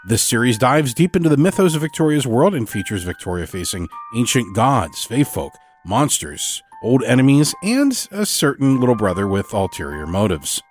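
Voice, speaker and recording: A man's voice: mid-range voice, big pitch swings, normal speed, few pauses. A man speaking as host, giving a scripted introduction to an audio drama podcast. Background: music.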